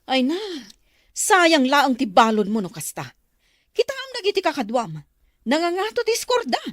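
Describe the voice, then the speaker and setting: A woman's voice: sits high, big pitch swings, moderate pace, dry recording, clean studio sound. An actress playing a harsh, scolding mother in an Ilocano radio drama, suspicious and resentful.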